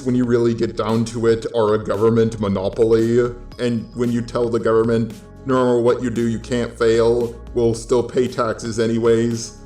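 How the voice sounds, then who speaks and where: A man's voice: mid-range voice, a little mellow. American man, blunt opinion talk in echoey room. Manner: blunt, casual, sweary. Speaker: video commentator. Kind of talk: opinion commentary monologue.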